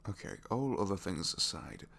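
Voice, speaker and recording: A man playing a captured supervillain in an intimate ASMR roleplay, a gentle, bookish dreamer who speaks softly and hesitantly, often sinking toward a whisper. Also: sits low, expressive, moderate pace, vocal fry, a little hiss or hum, full character voice. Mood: sincere, fond. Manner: hesitant, soft-spoken, rambling, often dropping to whispers.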